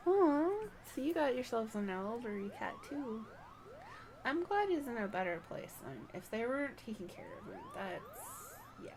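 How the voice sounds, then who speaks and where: A woman's voice: fairly high pitch, wildly swooping pitch, moderate pace, darkish tone. A woman livestreaming a game, chatting casually in a conversational, rambling storytelling way. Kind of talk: casual stream chat, reading game dialogue aloud.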